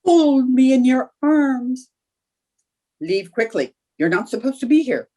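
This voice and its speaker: Woman playing a husky, scatterbrained radio housewife. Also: high-pitched, big pitch swings, takes it slowly, dark tone, full character voice. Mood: earnest, hammy. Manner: comic, exaggerated reactions. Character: scatterbrained, eager, vain housewife and would-be actress. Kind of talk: 1940s radio comedy acting.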